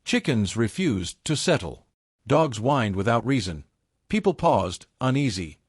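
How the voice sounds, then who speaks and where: A man narrating a scripted folktale in a solemn, portentous way, in short measured phrases. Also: medium pitch, sing-song, breathy edge, dry recording, studio quality. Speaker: storyteller, narrator.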